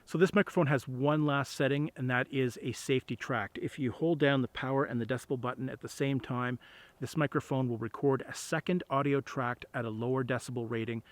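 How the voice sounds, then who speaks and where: Guy doing a casual gear review outside, medium pitch voice, explains stuff plainly to the camera. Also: fairly even intonation, a little fast, no echo. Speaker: YouTuber reviewing outdoor gear. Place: outdoors. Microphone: on-camera shotgun microphone.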